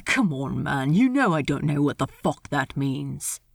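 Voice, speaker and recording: A woman voicing a gruff, sullen male pirate captain in a storybook reading, terse and casual. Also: low voice, very animated, measured pace, no echo, clean studio sound, pitched below the performer's own voice, full character voice. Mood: uneasy, gruff. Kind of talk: character voice in a fan fiction reading.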